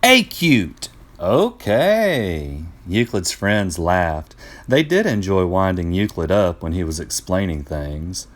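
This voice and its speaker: A man reading a scripted educational storybook aloud to students as a teacher, in an expressive, deliberate way. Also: low-pitched.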